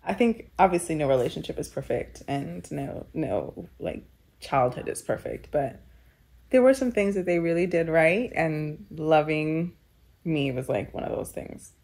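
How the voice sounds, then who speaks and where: A woman's voice: sits low, expressive, a little slow, vocal fry, a little mellow, breathy edge. A young woman from Kenya, telling her personal story in a reflective, conversational way with many filler words. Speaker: storyteller guest.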